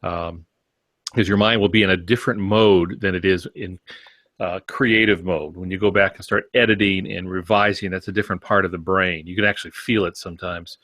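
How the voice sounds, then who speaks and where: Older American man, fairly low voice. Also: fairly even intonation, brisk, fairly bright tone, dry recording. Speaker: podcast co-host. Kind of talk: conversational writing advice.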